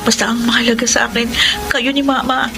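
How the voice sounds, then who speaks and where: High-voiced devoted daughter. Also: female voice, little pitch movement, brisk, creaky. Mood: earnest, tender. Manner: earnest, sentimental. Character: devoted, hopeful young adult daughter. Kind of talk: radio drama acting and first-person narration. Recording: music under the voice.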